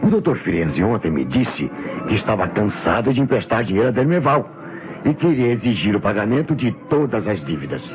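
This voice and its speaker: A Brazilian actor playing an excitable, flustered doctor giving hesitant testimony in an old radio mystery. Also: male voice, on the low side, fairly quick, over music. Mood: flustered, agreeing. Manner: animated, hesitant admissions. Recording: dull, noisy old radio recording.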